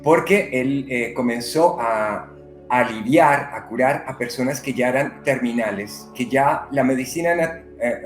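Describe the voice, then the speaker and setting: Man from Colombia, medium-guest type, measured and pausing, echoey room. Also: medium pitch, soft background music. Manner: unhurried, many "eh" pauses. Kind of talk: conversational interview. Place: living in Brazil.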